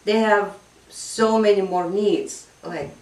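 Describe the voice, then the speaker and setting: A woman's voice: mid-range voice, steady tone, slow. Woman guest, echoey distant sound. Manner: earnest, reflective, with filler ums. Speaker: English teacher for immigrants and refugees. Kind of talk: interview guest, casual. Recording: echoey room, voice a bit off-mic.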